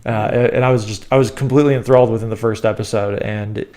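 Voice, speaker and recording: A man's voice: lowish voice, fairly even intonation, fast, mellow. A man hosting a casual podcast while congested, his voice nasal and stuffed up. He rambles, doubles back and restarts his sentences as he works through his thoughts. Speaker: podcast host.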